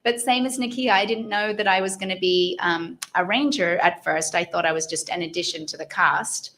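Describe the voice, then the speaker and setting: A woman's voice: lowish voice, moderate pace, a bit bright. A woman with an Australian accent, chatting casually on a convention panel, rambling a little and laughing often. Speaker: actor guest at a fan convention panel.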